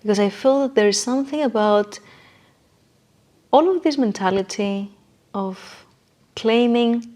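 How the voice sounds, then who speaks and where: A woman's voice: a little high, a little slow, pauses often, a little mellow. A woman guest in an interview, explaining her ideas conversationally in emphatic, short declarative phrases and repeating her key points.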